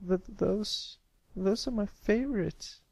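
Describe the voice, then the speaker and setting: A man acts out a tiny, timid young colt from a storybook, pitched well up. The little pony stammers tearfully through each phrase. The sound is close and dry. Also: takes it slowly, creaky, a little mellow, no echo, full character voice. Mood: shy, sniffly. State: crying.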